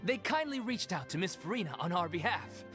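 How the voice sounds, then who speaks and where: An actor voicing a polite, formal young leader of a theater troupe in a game. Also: male voice, sits high, big pitch swings, fairly quick. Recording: game audio with music.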